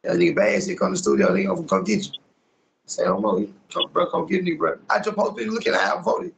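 A young American man with a very gravelly, crackling voice that sits a bit high. He talks in casual, slang-heavy street talk on a phone video call, repeating phrases emphatically and tacking questions onto his sentences. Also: very animated, quick pace, fairly bright tone. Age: young adult. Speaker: rapper being interviewed. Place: small indoor room, on a video call. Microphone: phone at arm's length on video call.

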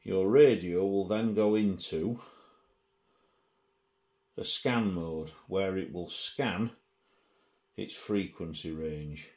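A man's voice: deep voice, slow pace, pauses often, a little mellow. A middle-aged man giving a casual hands-on gadget demonstration, presenting indoors at a table.

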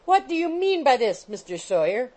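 A storybook landlady in full fury, shrill and very high. She screams and scolds contemptuously, her voice swooping as she harangues. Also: sing-song, normal speed, darkish tone. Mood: furious, shrill. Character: shrill, furious, scolding working-class landlady.

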